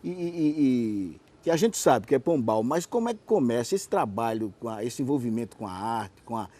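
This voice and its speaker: A man with a dark, mellow voice. He speaks at a middling male pitch as an interview host, putting his questions together haltingly and conversationally. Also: expressive.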